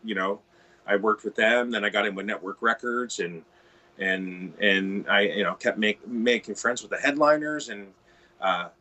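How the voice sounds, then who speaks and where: Bright-voiced Canadian man, rambling. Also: lowish voice, normal speed. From Ontario, Canada. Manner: rambling, many restarts and "you know". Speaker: guitar tech and stage manager, guest. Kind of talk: casual podcast interview.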